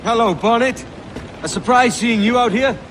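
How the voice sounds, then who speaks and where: A young pirate adventurer from a video game. He is confident and easygoing, with a high voice. Noisy outdoor ambience is behind him. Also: normal speed, a bit bright. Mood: friendly, casual. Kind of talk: video game cutscene dialogue.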